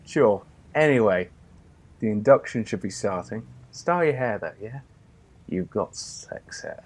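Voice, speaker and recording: A man's voice: lowish voice, expressive, unhurried. An actor playing a cheeky colleague who mocks his friend and swears casually. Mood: mocking. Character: cheeky male colleague, crude and joking.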